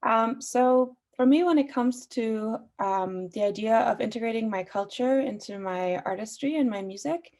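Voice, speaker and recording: A woman's voice: a little high, moderate pace, hardly any pauses, darkish tone. A young woman, a musician who grew up speaking Farsi, sharing her views on a panel in a thoughtful way with many fillers. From Austria. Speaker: guest artist. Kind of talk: panel discussion.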